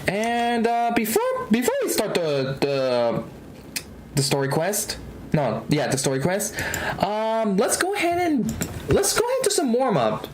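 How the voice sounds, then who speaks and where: A woman's voice: mid-range voice, huge pitch swings, a little slow, slight background noise, poor audio. A woman livestreaming a game in casual, slangy, rambling chat with frequent exclamations. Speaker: livestream host, gamer.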